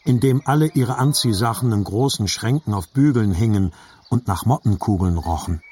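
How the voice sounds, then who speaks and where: Husky male audiobook narrator. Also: on the low side, moderate pace, warm tone. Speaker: literary narrator.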